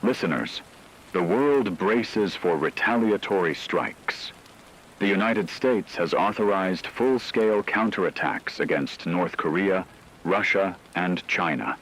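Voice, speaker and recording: A man as low-voiced male news anchor, solemn, scripted radio drama delivery, measured, faint rain and static behind. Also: a bit bright, rough recording. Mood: grave, solemn. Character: grave male radio news anchor reporting a world war.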